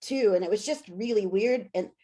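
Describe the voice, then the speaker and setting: A woman's voice: mid-range voice, moderate pace, warm tone. A woman being interviewed as an author and scholar, talking casually and candidly with self-deprecating humor.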